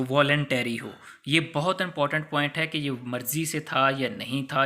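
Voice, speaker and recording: A man's voice: mid-range voice, sharp tone. A man with a Pakistani accent explaining points didactically as a law lecturer, often rephrasing them for exam students.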